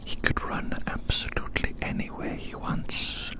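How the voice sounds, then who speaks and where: ASMR roleplay performer, soft unvoiced whisper, theatrical wordy phrasing, repeated phrases, rambling monologue, recorded outdoors. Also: moderate pace. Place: outdoors in a large open field.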